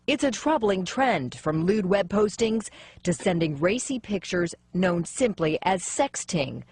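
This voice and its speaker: A woman news reporter delivering a TV news segment in a crisp, measured way. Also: medium pitch, lively intonation, darkish tone. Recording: TV news clip played over background noise.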